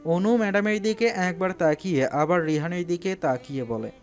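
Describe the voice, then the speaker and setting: Higher-pitched man narrating a love story. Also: normal speed, faint music behind. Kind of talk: scripted dramatic story narration.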